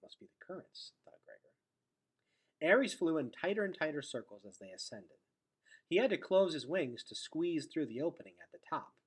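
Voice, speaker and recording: A man reading a storybook aloud expressively, with dramatic delivery that drops into hushed, breathy tones. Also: fairly high pitch, sing-song, normal speed. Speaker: teacher reading aloud to students.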